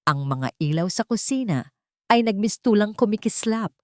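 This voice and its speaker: A woman's voice: medium pitch, animated, moderate pace, warm tone, dry recording. A woman narrator reading a scripted, dramatic romantic story.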